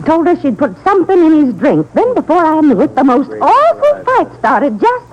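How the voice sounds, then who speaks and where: A fussy older lady in an old radio drama, with a very high voice that swoops about. She rambles, frets and gossips in prim, scandalized tones. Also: very animated, moderate pace, rough recording. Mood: scandalized, excited. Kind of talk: 1950s radio drama acting. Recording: vintage radio drama recording.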